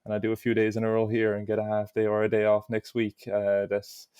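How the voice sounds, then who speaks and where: A man's voice: fairly low pitch, completely flat delivery, fairly quick, a little mellow, clean tone, no room sound. A young Irish man answering interview questions in an easygoing way, with lots of "you know". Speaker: interview guest.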